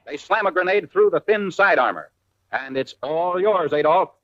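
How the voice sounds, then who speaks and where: A man's voice: sits high, expressive, moderate pace, fairly bright tone, highs cut off. An American man narrating a 1940s military training film from a script, in a punchy, colloquial style full of wartime slang, on a vintage film soundtrack.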